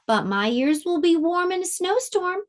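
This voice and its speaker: A woman's voice: very high voice, sing-song, moderate pace, voice pushed up. A woman voicing a small, proud male hedgehog in a storybook read-aloud.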